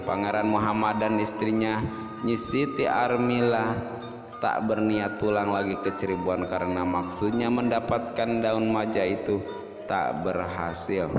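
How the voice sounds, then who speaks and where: A man tells a folk tale in a low, unhurried voice. He lingers on key words and leaves dramatic pauses. The recording swims in long reverb, with music playing behind him. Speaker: storyteller and host. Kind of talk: scripted folk-tale narration.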